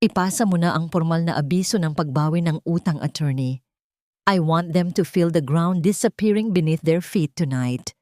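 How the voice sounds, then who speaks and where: A woman voicing a wronged mother who gives orders in a calm, firm tone without a trace of anger. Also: low voice, moderate pace, dry recording, clean studio sound, natural voice. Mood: cold. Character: cold, steady wronged wife and mother.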